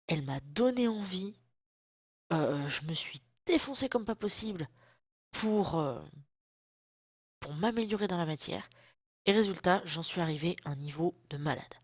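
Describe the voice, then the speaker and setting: A woman's voice: fairly low pitch, animated, normal speed, pauses often, slightly rough, no echo. Chatty woman in her thirties, dry close sound. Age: 30s. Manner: chatty, self-mocking, loose slangy talk. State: lingering sore throat, occasional coughs. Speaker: vlogger. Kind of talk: casual chat to camera. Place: at home, indoors.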